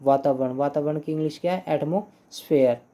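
A young man teaching English grammar in Hindi, going slowly through example sentences, repeating them and often checking "clear?". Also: on the high side, dark tone. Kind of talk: Hindi-language grammar lesson.

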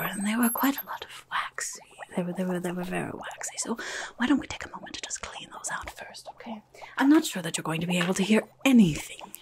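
A young woman playing a rude, chaotic goblin nurse in a whispered ASMR roleplay, fussy and blunt, repeating words close to the microphone. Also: medium pitch. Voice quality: breathy whisper.

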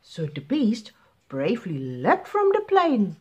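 Woman reading a bedtime story to kids, slow n super expressive, up and down voice. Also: medium pitch, husky. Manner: expressive, deliberate. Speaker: children's story reader. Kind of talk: read-aloud picture book narration.

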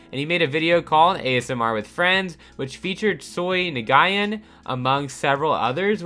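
Lively man narrating a countdown. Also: a little high. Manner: upbeat, lively countdown delivery. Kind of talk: scripted voice-over narration. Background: soft background music.

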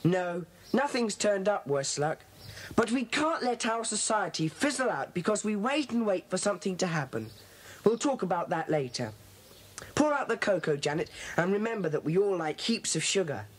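A woman playing an earnest, bossy schoolboy club leader in a children's audio drama, brisk and authoritative. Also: medium pitch, a little hiss or hum, natural voice.

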